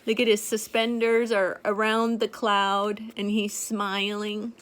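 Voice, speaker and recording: An older woman reading a picture book aloud to a child in a gentle, playful way, pointing out the pictures as she goes. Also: mid-range voice, little pitch movement, slow pace. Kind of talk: storybook read-aloud to a child.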